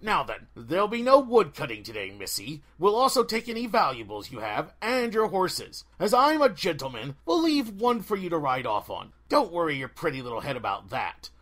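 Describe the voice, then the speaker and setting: A man's voice: fairly high pitch, wildly swooping pitch, normal speed, few pauses, fairly bright tone, full character voice. A man voicing a pompous, smug bandit leader with grandiose, mock-courtly, archaic phrasing in a storybook reading. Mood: smug, threatening.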